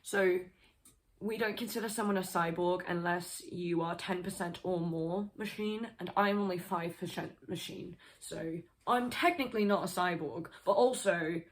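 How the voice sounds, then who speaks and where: A woman's voice: lowish voice, moderate pace. A woman vlogger talking casually and at length, rambling a little and swearing now and then. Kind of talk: casual explanatory vlog.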